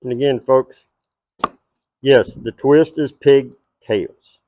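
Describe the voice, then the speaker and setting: A man's voice: medium pitch, steady tone, takes it slowly, muffled recording, noisy background. A middle-aged man with a Texas twang, hosting a cooking show at an outdoor backyard table and chatting straight to his viewers.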